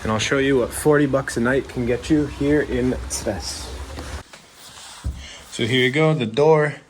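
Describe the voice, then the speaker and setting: A man's voice: medium pitch, a little slow, a little hiss or hum, poor audio. A man vlogging in a chatty, easygoing way while walking through old town streets outdoors, talking to the camera with plenty of fillers. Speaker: travel vlogger.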